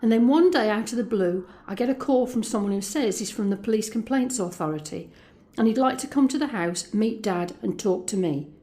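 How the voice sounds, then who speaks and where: Woman playing a stony, hushed, bitter mother. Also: medium pitch, animated, a little fast, few pauses, lightly acted. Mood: grim, controlled. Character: Alex's mother, stony, traumatised, vengeful middle-aged woman. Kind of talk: recounted dialogue in audio drama.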